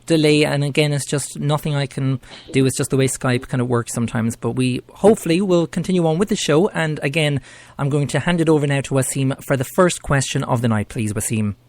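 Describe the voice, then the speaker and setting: An Irish man hosting a call-in show, upbeat and quick to praise. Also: mid-range voice, darkish tone. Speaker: host.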